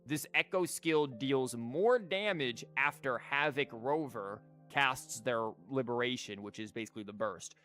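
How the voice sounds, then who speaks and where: A man's voice: mid-range voice, measured pace, hardly any pauses, pushed and bright. A man streaming a game, thinking aloud and rambling as he explains things in casual live commentary, with game music behind him. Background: video game music and character lines.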